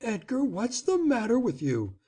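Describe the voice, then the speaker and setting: A man's voice: very high voice, wildly swooping pitch, measured pace, dark tone, slightly rough, voice pushed up, full character voice. A man reading aloud, voicing an anxious, scolding upper-class mother. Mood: pleading. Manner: pleading then stern and commanding.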